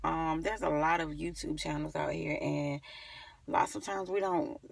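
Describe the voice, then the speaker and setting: A young woman with a creaky, fry-laden voice chats informally to her phone in a car. She rambles and thinks aloud in a casual vlog style, and the phone picks her up from a little distance. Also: low-pitched, fairly even intonation, a little slow. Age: young adult. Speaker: beauty vlogger. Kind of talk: casual chat to camera. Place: inside a parked car. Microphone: phone at arm's length. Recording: slightly distant phone recording.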